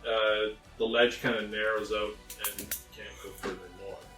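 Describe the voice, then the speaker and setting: Low-voiced man running a tabletop game. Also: level tone, normal speed, fairly bright tone, reverberant, faint music behind, low-quality recording. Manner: describing scenes and calling for dice rolls. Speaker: game master.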